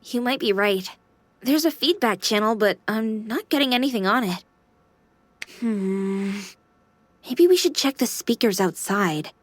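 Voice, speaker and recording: A woman's voice: fairly high pitch, lively intonation, a little slow, no room sound. A woman voicing a playful young female AI companion in a sci-fi visual novel, polite with a teasing edge. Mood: helpful. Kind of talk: visual novel game voice acting. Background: music.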